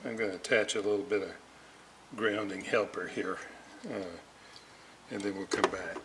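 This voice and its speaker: A man giving a casual hands-on repair walkthrough, halting and muttering to himself as he works. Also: on the low side, fairly even intonation, fairly bright tone, a little hiss or hum, low-quality recording. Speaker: hobbyist radio restorer, presenter.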